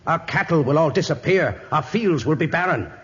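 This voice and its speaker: An actor playing a stern, scheming high priest of a moon god in 1950s radio style, solemn and commanding. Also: male voice, expressive, normal speed, fairly bright tone, breathy edge, dull audio. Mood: solemn, menacing. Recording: old radio recording, long reverb, music behind.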